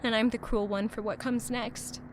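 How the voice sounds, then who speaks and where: An actress playing a defiant, wary young heroine in an audio drama, tense and resolute in dialogue and narration. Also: female voice, fairly high pitch, flat delivery, normal speed, noisy background, natural voice. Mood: wary, reflective.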